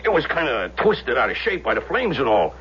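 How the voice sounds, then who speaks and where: An actor playing a chatty, streetwise gas station owner with folksy, colloquial talk in a 1970s radio drama. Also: male voice, mid-range voice, huge pitch swings, a little fast, fairly bright tone, husky, exaggerated voice. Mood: chatty, eager. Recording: dull, noisy old radio recording with hum.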